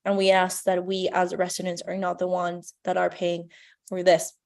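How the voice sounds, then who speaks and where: A woman's voice: lowish voice, completely flat delivery, normal speed, creaky, darkish tone. A young woman from Colombia giving earnest, pleading public testimony at a hearing. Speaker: public commenter.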